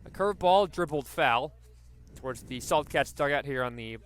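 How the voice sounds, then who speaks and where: A man's voice: fairly high pitch, lively intonation, moderate pace, fairly bright tone, a little hiss or hum. A man doing live radio play-by-play commentary of a baseball game.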